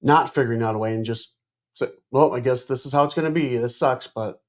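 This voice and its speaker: A man hosting a podcast, asking thoughtful, halting questions in a casual interview. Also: mid-range voice. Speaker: podcast host.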